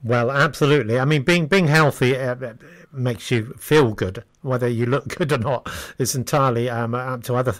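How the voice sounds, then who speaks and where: A man hosting a conversational radio interview, speaking haltingly with many hesitations and repeated starts. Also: medium pitch. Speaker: radio host.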